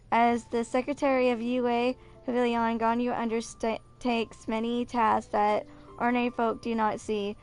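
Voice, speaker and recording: A woman in her twenties doing casual gaming commentary and reading aloud, sometimes tripping over her words. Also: on the high side. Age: 20s. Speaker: video game streamer.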